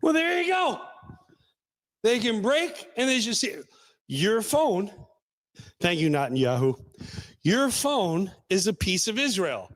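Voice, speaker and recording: A man hosting a loose, opinionated talk show. He is sarcastic and animated, and his voice sits a bit high. He talks slowly and takes his time. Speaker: podcast host.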